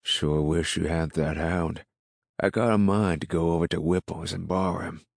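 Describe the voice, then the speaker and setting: A man voicing a gruff, ill-tempered rural farmer in an audiobook, grumbling in folksy, ungrammatical country speech. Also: low-pitched, fairly even intonation, normal speed, no room sound, studio quality. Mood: suspicious. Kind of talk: audiobook character dialogue.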